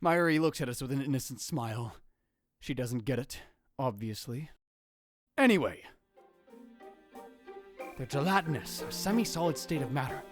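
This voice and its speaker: A man's voice: mid-range voice, moderate pace, pauses often, dry recording, close to an everyday voice. Man playing a swooping, theatrical young mad scientist. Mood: musing, narrating. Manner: theatrical, grandiose, swinging into excited exclamations. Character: dramatic young male self-proclaimed mad scientist. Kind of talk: visual novel fan dub, first-person narration and dialogue. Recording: music behind the voice. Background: music.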